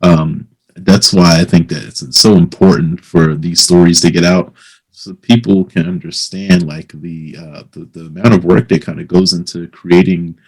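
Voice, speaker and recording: Husky, deep-voiced male interviewer. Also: flat delivery, a little mellow, rough recording. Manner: halting, searching for words. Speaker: interviewer, host. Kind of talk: casual interview conversation.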